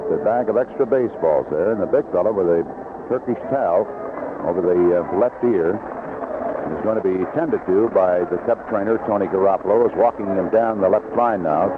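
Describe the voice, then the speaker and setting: A man working as a play-by-play announcer, giving live 1970s baseball radio commentary, unhurried in description and more animated on the action. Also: on the low side. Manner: unhurried description between plays, rising excitedly on action. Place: outdoor ballpark broadcast booth. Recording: noisy, tinny vintage AM radio broadcast.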